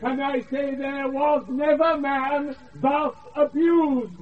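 A British actor playing an indignant, pompous steward imprisoned as mad in a radio Shakespeare play, pleading and declaiming. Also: male voice, very high-pitched, level tone, slow pace. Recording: tinny, distant off-air radio recording.

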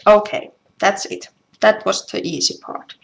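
A woman from Finland with a Finnish accent, giving a casual craft tutorial in halting, self-deprecating English with a creaky voice. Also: medium pitch, steady tone. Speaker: craft demonstrator. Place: indoors at home. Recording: noisy live stream with clicks.

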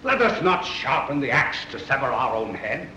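Stern colonial politician, sweeping intonation, emphatic 1930s film oratory, noisy echoey soundtrack. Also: male voice, mid-range voice, big pitch swings, moderate pace, bright, forceful tone. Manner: emphatic, declamatory. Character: stern, cautious colonial delegate arguing against war.

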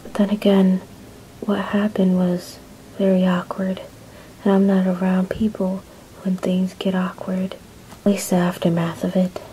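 A woman acting an injured young woman searching for her lost friend in an amateur audio drama, talking in a breathy half-whisper and often out of breath. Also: on the low side, flat delivery, measured pace, mellow, slight background noise, close to an everyday voice, low-quality recording. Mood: whispery weary.

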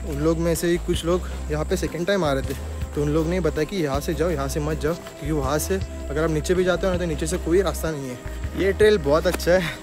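A man's voice: on the high side, fairly even intonation, quick pace. A young man, vlogging casually to a phone camera as he walks a forest trail and talks straight to his viewers. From Maharashtra, India. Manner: chatty, addresses viewers directly while walking a trail. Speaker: travel vlogger. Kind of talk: casual vlog narration to camera. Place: outdoor forest trail near a waterfall. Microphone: phone camera held while walking. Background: background music.

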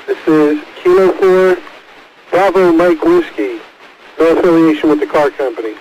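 Male radio caller, fairly high-pitched, casual, heard through a two-way radio with light hiss. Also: sing-song, normal speed, vocal fry, a little mellow. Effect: two-way radio transmission. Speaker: caller on amateur radio net. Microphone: mobile two-way radio mic. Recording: hissy, noisy ham radio transmission.

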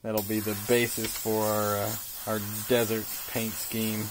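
A man in his thirties, a bit raspy from a cold, calmly talking through a miniature painting tutorial with plenty of fillers. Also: on the low side, measured pace, noisy background. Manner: relaxed, hesitant with fillers, explains while working. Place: hobby shop workbench indoors.

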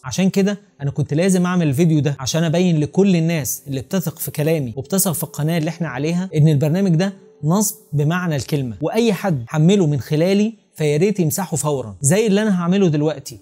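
A man's voice: fairly high pitch, rapid-fire, warm tone. A man in his thirties with an Egyptian Arabic accent, explaining emphatically and speaking straight to the viewer as a channel host. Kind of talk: explanatory talk to camera. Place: small home room with curtains and desk, indoors. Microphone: clip-on lapel mic.

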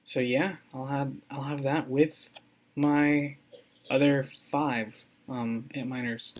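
Excitable rambling young man. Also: medium pitch, takes it slowly. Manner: rambling, excitable, many ums and restarts. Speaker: crypto mining vlogger. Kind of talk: casual screen-recording update.

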